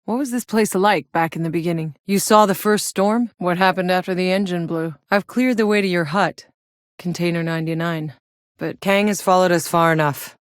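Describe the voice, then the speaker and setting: Actress as a low-voiced, tough sardonic female soldier. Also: normal speed, no room sound, clean studio sound, close to an everyday voice. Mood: determined, blunt. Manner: blunt, wry, swearing. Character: tough, sardonic battle-hardened female soldier in sci-fi wasteland. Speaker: video game voice actor. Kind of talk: scripted video game character voice lines.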